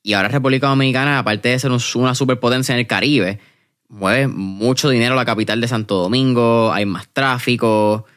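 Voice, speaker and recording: A man's voice: mid-range voice, fairly quick, a bit bright. Puerto Rican man hosting a podcast. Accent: Puerto Rican Spanish. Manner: slangy and friendly, with fillers. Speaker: podcast host. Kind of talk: casual podcast interview.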